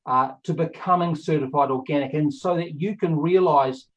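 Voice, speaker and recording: A man with a New Zealand accent, a company managing director giving a presentation on a video call, speaking slowly and deliberately. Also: on the high side. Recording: dull lo-fi video call audio.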